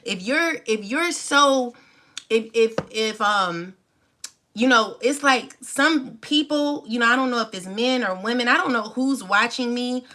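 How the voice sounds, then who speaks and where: A woman's voice: a little high, a little slow, pushed and bright. A woman in her forties speaking off the cuff straight to her viewers, defiant and emphatic, repeating phrases to drive them home. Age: 40s. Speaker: tarot reader and channel host. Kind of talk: unscripted personal monologue to viewers.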